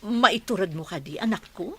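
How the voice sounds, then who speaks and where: A woman voicing a gentle, anxious mother in a radio drama, talking softly and with worry. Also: medium pitch, lively intonation, normal speed, husky, voice pushed down, full character voice. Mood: worried. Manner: soft, worried.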